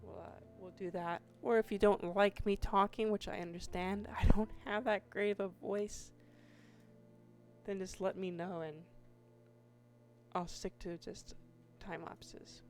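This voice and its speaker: A woman with a hoarse voice talks her way through a building project in a relaxed, unhurried drawl, circling back and restarting her sentences. Faint music plays quietly beneath her commentary. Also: mid-range voice. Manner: slow, meandering, with many restarts and fillers. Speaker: Minecraft YouTuber. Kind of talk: casual gameplay commentary.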